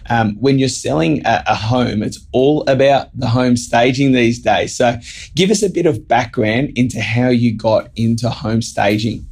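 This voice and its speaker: A man with a croaky voice, hosting a podcast interview in an easygoing way with lots of quick acknowledgements. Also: medium pitch, a little mellow, a little hiss or hum. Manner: easygoing, frequent "yep" acknowledgements. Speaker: podcast host.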